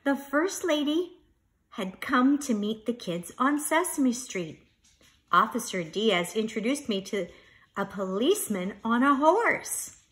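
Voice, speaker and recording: Middle-aged lady reading a picture book to kids, bright voice, takes her time. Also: fairly high pitch, slow. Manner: expressive, teacherly. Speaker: children's storybook reader. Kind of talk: animated read-aloud of a children's story. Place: living room at home, indoors.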